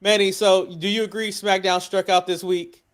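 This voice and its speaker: A man's voice: high voice, little pitch movement, moderate pace, a bit bright, clear, resonant tone. An American man hosting a wrestling podcast, chatting casually and bluntly, swearing and putting questions to others. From United States. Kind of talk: casual opinionated sports chat.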